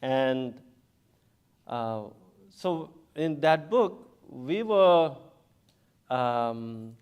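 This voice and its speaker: A Buddhist monk from Bangladesh speaks at a symposium, taking his time and pausing on fillers. His medium-pitched voice is slow and even, and he breaks into soft chuckles as he talks. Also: clear, resonant tone. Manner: unhurried, chuckles often, many hesitations. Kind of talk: symposium talk.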